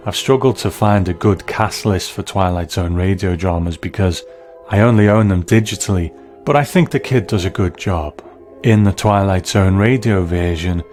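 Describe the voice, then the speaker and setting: A man with a very low-pitched voice presents a review podcast. He talks in a considered, reflective way, weighing his points as commentary. Faint music plays behind him. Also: a little mellow. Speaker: podcast host.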